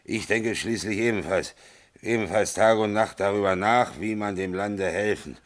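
An actor in a German radio drama playing a curt, self-satisfied old government minister with a deliberate manner who repeats his words. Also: on the low side, natural voice. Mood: smug. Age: older.

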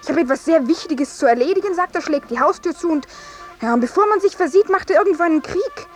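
An actress playing a spirited, haughty and naive young daughter of a general in a 1950s radio play. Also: female voice, extremely high pitch, expressive, fast talker, faint music behind. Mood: reproachful.

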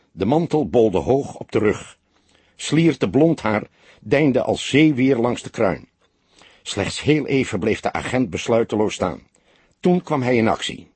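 Husky male storyteller, lively intonation. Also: fairly low pitch, no echo. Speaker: audiobook narrator. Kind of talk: scripted literary narration of a crime story.

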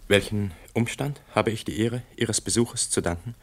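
An actor in a German radio play, playing a stiff, respectable councillor who speaks with formal politeness and is quick to take offence. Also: male voice, lowish voice. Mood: formal, puzzled. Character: stiff, indignant, respectable legation councillor, male.

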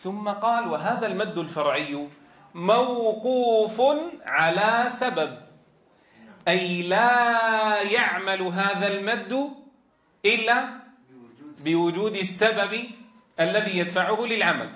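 A man with a Levantine accent and a congested, tired voice, teaching a recitation lesson with careful, deliberate enunciation. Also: high-pitched, a bit bright. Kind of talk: lesson explaining a memorised verse text. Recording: echoey room reverb.

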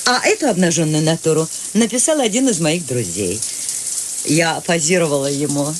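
An actress playing Maude, an eccentric, free-spirited older woman, lively and teasing, in a radio play. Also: low voice, moderate pace, slight background noise.

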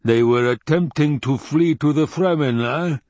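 An actor playing an obese, scheming, imperious baron in an audiobook drama, with a rumbling voice and slow, contemptuous delivery. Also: male voice, darkish tone, dry recording, lightly acted. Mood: cold questioning. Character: menacing, scheming, obese male baron and tyrant.

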